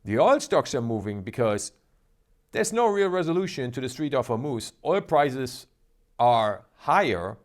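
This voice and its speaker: A man's voice: medium pitch, very animated, measured pace. A man hosting a stock trading show, talking in an upbeat, emphatic, salesy way. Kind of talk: energetic market commentary.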